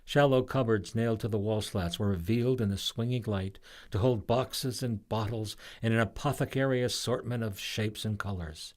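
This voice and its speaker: A man reading his own children's story aloud in an expressive scripted narration. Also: lowish voice, moderate pace, few pauses.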